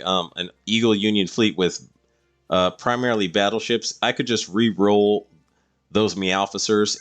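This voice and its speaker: A man's voice: on the low side, fairly bright tone. A man doing casual live-stream game commentary, explaining things to viewers in a rambling way.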